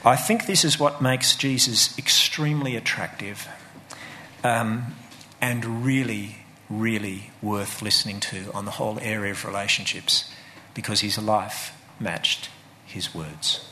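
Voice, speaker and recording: A man's voice: lowish voice, measured pace, pushed and bright, slight background noise. A man giving an earnest, sermon-like talk about faith and family.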